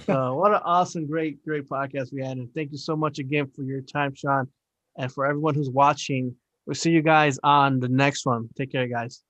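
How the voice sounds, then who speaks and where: A man's voice: mid-range voice, fairly even intonation, normal speed, a bit bright, dry recording. A man in his forties hosting a casual podcast chat, talking in a rambling, upbeat way with lots of fillers. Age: 40s. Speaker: podcast host.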